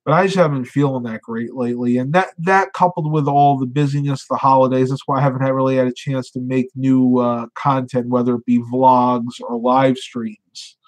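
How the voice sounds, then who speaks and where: A man's voice: medium pitch, steady tone, normal speed, few pauses. A man hosting a casual live stream, chatting and reading viewer comments with lots of fillers, sounding congested as he loses his voice.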